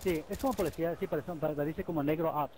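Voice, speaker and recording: A man chatting casually over game voice chat, answering in halting, repetitive short phrases. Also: on the high side, dark tone. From lives in the United States, Mexican. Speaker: online game teammate. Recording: noisy game voice chat with gunfire. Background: video game gunfire.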